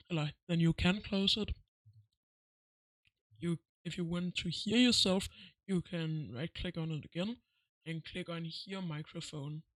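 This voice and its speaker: A man narrating a casual step-by-step software tutorial with plain, simply worded instructions. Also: high-pitched, no echo, poor audio. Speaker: tutorial host.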